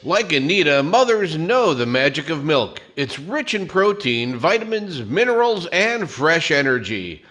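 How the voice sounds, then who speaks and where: Man acting a bright, forceful 1940s radio announcer. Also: fairly high pitch, sing-song, unhurried, few pauses, faint music behind. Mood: upbeat, selling. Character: genial, well-meaning male radio announcer.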